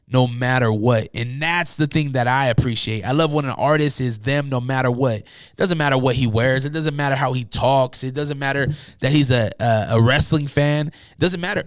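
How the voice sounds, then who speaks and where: A young man with a hoarse, tired voice hosting a casual solo podcast, rambling loosely with many fillers and asides from a room at home. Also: mid-range voice, no echo, clean studio sound. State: hoarse, losing his voice. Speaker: podcast host.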